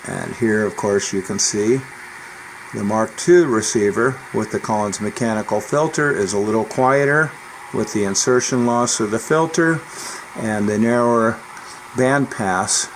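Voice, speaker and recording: A man's voice: on the low side, measured pace, rough recording. A man narrating a hands-on technical demonstration of radio equipment, as a hobbyist would. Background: CB radio receiver noise.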